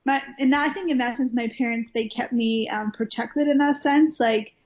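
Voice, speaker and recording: Chatty young woman, slightly high voice. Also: normal speed. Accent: American. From Wales. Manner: chatty, many fillers and restarts. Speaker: guest minister. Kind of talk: casual interview storytelling. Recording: muffled lo-fi recording.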